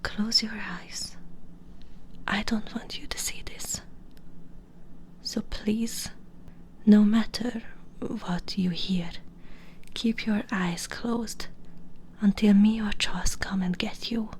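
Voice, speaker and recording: A woman playing a proud, possessive vampire baroness in an intimate ASMR audio roleplay, imperious and breathy. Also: medium pitch, steady tone, takes it slowly, long pauses, darkish tone, close to an everyday voice, poor audio. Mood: tender, urgent. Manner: breathy half-whispers, imperious and teasing.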